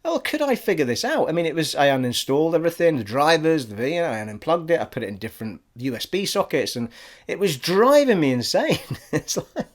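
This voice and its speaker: A man's voice: fairly high pitch, very animated, fairly quick. A man from Scotland with a generic English accent, rambling cheerfully through a casual gaming vlog from his living room. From Scottish, raised abroad in army schools. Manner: chatty and rambling, says "people" a lot. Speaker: gaming vlogger. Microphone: studio USB microphone on an arm.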